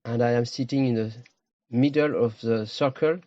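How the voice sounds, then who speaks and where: Low-voiced man guiding a meditation. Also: fairly even intonation, darkish tone, no room sound, lo-fi sound. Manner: slow, pausing, short phrases. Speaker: meditation teacher. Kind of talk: guided meditation.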